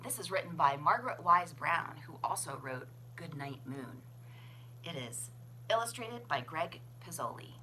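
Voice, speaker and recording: A woman reading a children's picture book aloud as a librarian, with expressive, sing-song, deliberate phrasing. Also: sits low, background noise. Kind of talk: children's storybook read-aloud.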